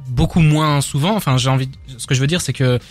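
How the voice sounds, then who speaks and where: A man's voice: mid-range voice, fast talker, no room sound, soft background music. A man hosting a casual rap debate talk show, French not his first language, often restarting his sentences. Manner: animated, stumbling restarts. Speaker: podcast host.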